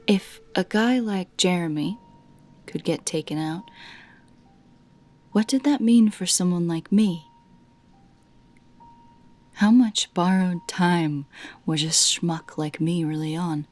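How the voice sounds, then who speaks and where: A woman narrating a scripted first-person creepy story in a measured way, with dramatic pauses between short phrases. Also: fairly low pitch, a little mellow, faint music behind.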